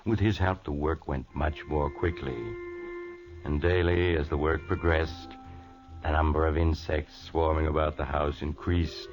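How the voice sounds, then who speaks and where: A man's voice: extremely low pitch, little pitch movement, unhurried. A man narrating a radio drama in a solemn, ominous way. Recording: dull vintage radio recording with music.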